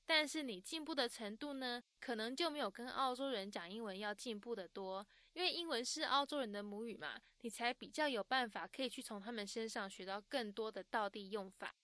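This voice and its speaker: A woman from Taiwan hosting and recapping the interview in a lively, exclaiming way. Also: on the high side, a little fast, few pauses, dry recording. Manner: enthusiastic, exclaiming. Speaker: bilingual co-host summarizing.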